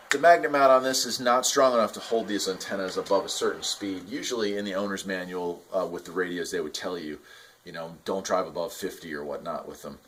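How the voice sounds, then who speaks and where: Low-voiced American man, casual explainer. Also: fairly bright tone, slight background noise. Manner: conversational, matter-of-fact. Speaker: host of a radio hobby channel. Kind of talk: casual explanatory product talk to camera. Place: small indoor radio workshop.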